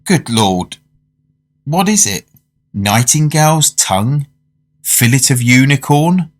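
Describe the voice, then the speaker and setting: An actor playing a polite male dinner guest in a radio drama, narrating his macabre tale in a measured, genteel way. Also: medium pitch, animated. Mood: incredulous. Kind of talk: radio drama, first-person narration and dialogue.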